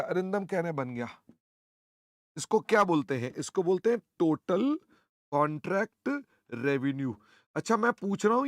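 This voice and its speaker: An older man teaching accounting in an online class, hoarse, chatty and teasing, often clearing his throat. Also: high voice, no room sound, clean studio sound.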